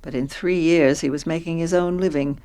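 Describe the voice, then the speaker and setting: A woman's voice: low voice, steady tone, normal speed, mellow, clear, resonant tone, close to an everyday voice. A woman playing a long-suffering, middle-aged working mother in a radio drama, narrating and speaking in scenes. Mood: wistful, resigned.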